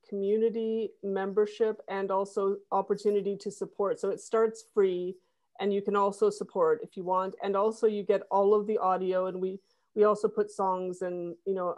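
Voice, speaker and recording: A woman's voice: medium pitch, darkish tone. A woman guest on a podcast, explaining things casually and conversationally in a wordy, rambling way.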